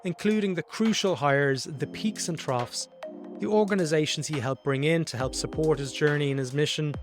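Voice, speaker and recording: A man hosting a design podcast, reading an ad and welcoming his guest, with short encouraging replies. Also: on the high side. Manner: friendly, encouraging with short backchannels. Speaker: podcast host. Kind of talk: podcast interview.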